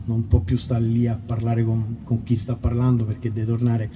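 A man, a singer-songwriter, informally introducing songs at a live concert in a rambling, halting way that often breaks off mid-thought. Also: mid-range voice, completely flat delivery, warm tone, distant mic, slight background noise. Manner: rambling, halting, self-interrupting. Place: outdoor festival concert in a nature park. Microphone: stage microphone through amplification.

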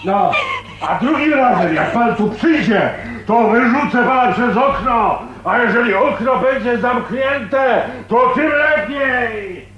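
An actor playing a rough, defiant rustic older man in a radio drama, folksy, blustering and mockingly polite. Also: very high-pitched, expressive, takes it slowly, highs cut off, exaggerated voice. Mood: threatening. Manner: folksy, blustering, mock-deferential. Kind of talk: Polish radio drama acting. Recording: roomy echo, faint noise, 1980s radio drama.

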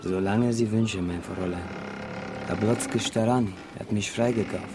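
Deep-voiced Egyptian servant, Arabic accent acted by a man. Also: steady tone, moderate pace, noisy background, lightly acted. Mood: soft, obliging. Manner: deferential, polite, mixes in Arabic phrases. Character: obliging Egyptian servant on an ocean liner, courteous. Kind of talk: radio drama acting. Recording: music behind the voice.

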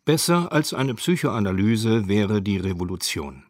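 Low-voiced male radio narrator, dry studio. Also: big pitch swings, unhurried, dry recording. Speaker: documentary narrator.

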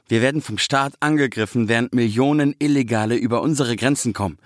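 An angry far-right frontman, acted out by a man, stirring up his followers in a mid-pitched voice. He is forceful and agitating, delivering his words as slogans in a dry, close recording of a literary reading. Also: quick pace, dry recording, close to an everyday voice. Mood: grim, agitating. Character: angry far-right male singer rallying a crowd.

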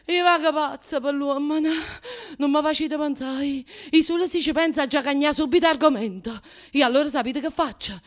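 An actress plays a vain, wounded Neapolitan woman in a comic radio play. Her voice sits very high and carries a Neapolitan accent. She confides in the listener with passionate, self-mocking theatricality and colloquial, emphatic phrasing. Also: normal speed, lightly acted. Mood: flirty, boastful. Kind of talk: radio drama comic monologue.

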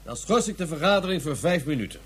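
A high voice of a pompous master of ceremonies on Mars, declaiming titles with great formality. It is a science fiction radio play with faint noise. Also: male voice, sing-song, fast talker. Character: pompous ceremonial chairman of a Martian assembly.

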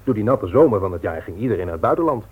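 Quick, low-voiced exasperated nephew. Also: male voice, sing-song. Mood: defensive. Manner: politely argumentative. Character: exasperated, restrained younger nephew working in bookshop. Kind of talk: Dutch radio play acting. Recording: thin phone-like radio sound, noisy.

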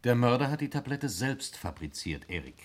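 A man playing an obliging, matter-of-fact investigator's helper in a 1960s radio crime drama, explaining deliberately. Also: mid-range voice, big pitch swings, close to an everyday voice. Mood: explanatory. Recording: old radio recording with clear noise.

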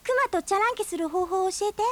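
A woman's voice: extremely high pitch, a crowd in the background. An actress playing a spirited, stubborn young woman in a radio drama, arguing her case insistently. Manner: quick-witted, debating, insistent. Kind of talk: 1990s radio drama acting.

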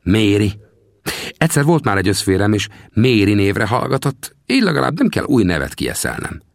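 A man's voice: on the low side, huge pitch swings, gravelly, dry recording, studio quality, heavily acted. A man voicing a wily, chuckling little old male frontier trapper who talks in a teasing, folksy, boastful way. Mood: pleased. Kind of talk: audiobook character voice.

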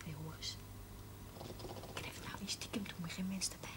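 A woman's voice: medium pitch, steady tone, moderate pace, in a whisper, close to an everyday voice. An actress playing a cheeky, eager young working-class woman, colloquial and coaxing, in a television drama. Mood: hushed coaxing. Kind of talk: 1970s Dutch television drama acting. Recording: slightly noisy old TV soundtrack.

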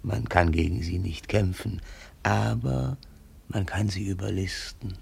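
Radio drama: wily old Malay adviser, low gravelly voice, deferential and persuasive. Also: male voice, measured pace, heavily acted. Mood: cunning, persuasive. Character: shrewd old Malay statesman, deferential adviser to his lord. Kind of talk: German radio drama acting.

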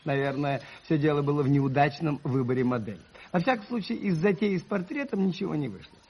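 Lively, expressive gentle priest. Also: male voice, a little high, husky. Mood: modest, wry. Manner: modest, unhurried, hesitant, old-fashioned courtesy. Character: Father Brown, gentle modest priest telling an old tale. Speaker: actor in a radio play. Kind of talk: 1980s Soviet radio drama acting, storytelling. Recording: slightly muffled vintage radio recording.

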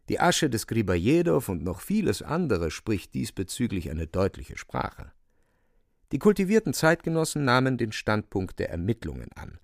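A wry male storyteller narrates a radio play with theatrical emphasis. His low voice is gravelly and swings widely in pitch, recorded very dry and close. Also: dry recording.

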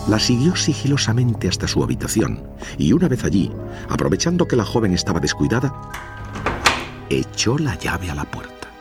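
A man's voice: fairly low pitch, wildly swooping pitch, normal speed. A man narrating a children's fairy tale from a script, as a storyteller. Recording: music behind the voice.

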